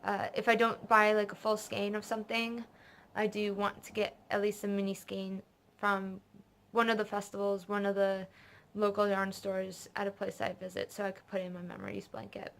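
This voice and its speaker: A woman's voice: medium pitch, completely flat delivery, moderate pace, vocal fry, fairly bright tone. A young woman from North America hosting a knitting vlog, chatting casually and rambling off on tangents with lots of fillers. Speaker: knitting podcast host. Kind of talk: casual chat showing purchases.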